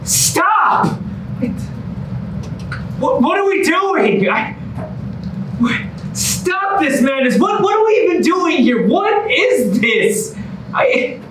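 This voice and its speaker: A man's voice: very high-pitched, sing-song, a bit bright, slight background noise, full character voice. A man playing a gloomy, defensive boyfriend with a morbid streak, theatrical in a staged musical comedy reading. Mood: exasperated. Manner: theatrical, halting when worked up. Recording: echoey room, distant mic, music behind.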